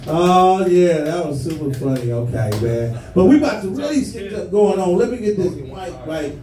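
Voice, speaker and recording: High-voiced man hosting an open mic. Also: animated, moderate pace, dark tone. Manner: loose, joking, hyping the crowd. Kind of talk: emcee banter. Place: small barbershop comedy venue. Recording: roomy, from far off, music behind.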